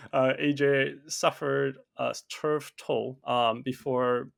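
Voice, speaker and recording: A man's voice: medium pitch, little pitch movement, slow pace, vocal fry. A man hosting an interview podcast, upbeat and loose, with lots of fillers and restarts as he asks questions. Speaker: podcast host.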